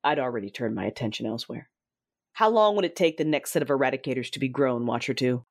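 An actor playing a cruel, vengeful Sith lord, narrating an audio drama in a deliberate, commanding, menacing way with a husky voice. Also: medium pitch, lively intonation. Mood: cold commanding. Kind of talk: first-person audio drama narration with dialogue. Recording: dramatic music behind the voice. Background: music.

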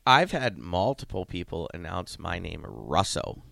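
A man's voice: low-pitched, big pitch swings, measured pace, gravelly, pushed and bright, no room sound. A middle-aged man from Ohio, a head coach co-hosting a radio show, chatting casually and rambling with many restarts.

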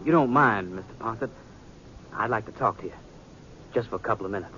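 A man's voice: on the low side, bright, forceful tone, husky. A man playing an earnest, polite young frontier sheriff with an American accent and a reserved manner, in a radio Western. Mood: courteous, tentative. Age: young adult. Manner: courteous, reserved, deliberate. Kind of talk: 1950s radio Western acting. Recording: muffled noisy 1950s radio recording.

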